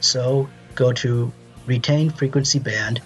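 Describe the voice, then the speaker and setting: A man presenting a step-by-step software tutorial in a casual, instructional way. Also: mid-range voice, slow pace, soft background music.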